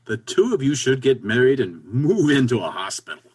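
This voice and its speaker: A man's voice: medium pitch, big pitch swings, moderate pace, darkish tone, breathy edge. A man playing a pragmatic, impatient but encouraging businessman friend in a stage comedy reading, with emphatic delivery. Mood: exasperated. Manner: pushy, exasperated, emphatic. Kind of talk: stage comedy readers theater.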